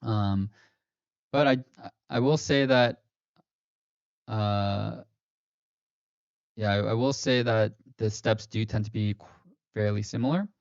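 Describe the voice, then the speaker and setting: A man's voice: lowish voice, steady tone, slow pace, pauses often, smooth, dry recording. A man hosting a finance livestream, talking casually with many fillers and self-corrections, his streaming voice a little robotic. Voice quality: slightly robotic-sounding stream voice. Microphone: streaming mic. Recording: dull lo-fi stream audio, dry.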